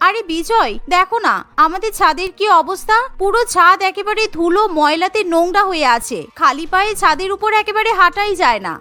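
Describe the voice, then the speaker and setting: A woman's voice: very high-pitched, expressive, fairly quick, hardly any pauses, sharp tone, faint music behind, full character voice. A woman voicing a busy, chore-obsessed young housewife in a cartoon, fussy, chatty and insistent. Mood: busy, cheerful. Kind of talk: cartoon dialogue.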